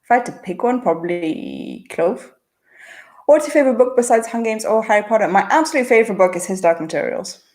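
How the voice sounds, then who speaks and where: Woman w/ a blended international school kid accent, casual livestream q&a, lots of uhs, mid pitch. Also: expressive, a little mellow. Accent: mixed international-school accent. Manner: chatty, answers quickly with frequent uh and um fillers. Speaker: channel host answering viewer chat. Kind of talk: casual livestream Q&A, reading chat questions aloud.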